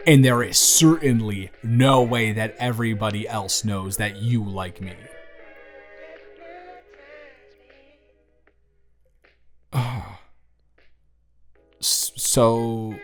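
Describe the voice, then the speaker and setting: A young man playing an earnest, lovestruck best friend in an intimate audio roleplay, speaking in a halting, conversational way. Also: fairly low pitch. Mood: stunned. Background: background music.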